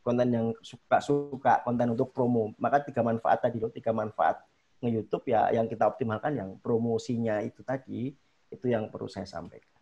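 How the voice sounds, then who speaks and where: A man with a Javanese accent, a YouTuber guest giving casual advice in an online seminar, emphatic and animated when making a point. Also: medium pitch, fairly quick, creaky, muffled recording.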